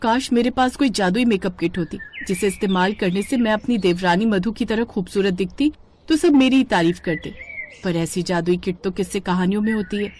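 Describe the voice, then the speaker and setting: A woman's voice: medium pitch, fairly quick, a little mellow, a little hiss or hum, close to an everyday voice. A woman voicing a vain, jealous and greedy young daughter-in-law in an exclaiming, self-satisfied way, in a storybook tale. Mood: envious wishing.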